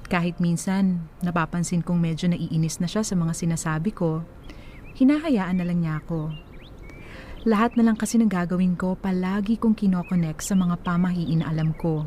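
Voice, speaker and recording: A woman playing a young Filipino letter sender, narrating her love story in a confiding, measured way for radio drama. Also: on the low side, warm tone, lightly acted. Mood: reflective, grateful. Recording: faint background noise.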